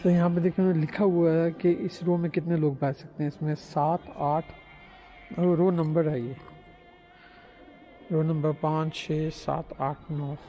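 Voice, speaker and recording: A middle-aged man, chatting as a travel vlogger in a halting way with many pauses. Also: fairly high pitch, fairly even intonation, mellow, soft background music. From Pakistan. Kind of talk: casual travel vlog narration. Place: inside a large ornate synagogue hall. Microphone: handheld camera at arm's length.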